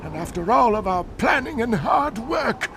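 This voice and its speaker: A man's voice: very high-pitched, big pitch swings, moderate pace, fairly bright tone, a little hiss or hum, heavily acted. A man voicing a grave, earnest nature sage and rebel leader in a video game, solemn and dramatic. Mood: dismayed, brooding. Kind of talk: animated video game acting.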